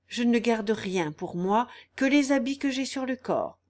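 A woman voicing a humble young peasant woman in a story, speaking plainly with gentle firmness. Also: a little high, lively intonation, measured pace, pitched above the performer's own voice. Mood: calm reasoning. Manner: plain, firm, gently resolute.

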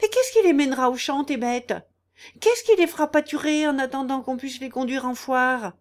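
A woman voicing a self-pitying, shrewish peasant aunt in a story, loud, indignant and wailing. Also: sits high, expressive, unhurried, voice pushed up. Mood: indignant scolding.

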